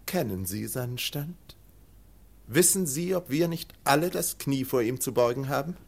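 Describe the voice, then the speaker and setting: A haughty lord and self-styled benefactor in a radio drama. His medium-pitched voice sweeps dramatically up and down. He is grandiose, aloof and deliberate. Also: animated, gravelly. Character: haughty, theatrical English lord, smooth self-appointed benefactor.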